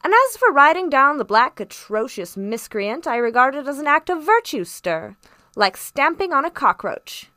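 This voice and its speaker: A woman's voice: sits high, big pitch swings, normal speed, pushed and bright, no room sound, clean studio sound, pitched above the performer's own voice, exaggerated voice. A woman voicing a hot-headed, pompous English country squire in a storybook, exclaiming and repeating himself emphatically. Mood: hearty approval.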